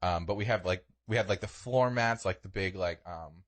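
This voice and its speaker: A man's voice: deep voice, normal speed, fairly bright tone. A young man co-hosting a podcast, telling stories in casual comedic chat with exaggeration and casual swearing. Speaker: podcast co-host.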